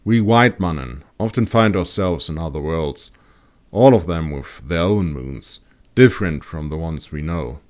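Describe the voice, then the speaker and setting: A man's voice: very deep voice, animated, unhurried. Man as an easygoing, well-read wandering ranger. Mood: modest, wry. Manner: matter-of-fact, explanatory.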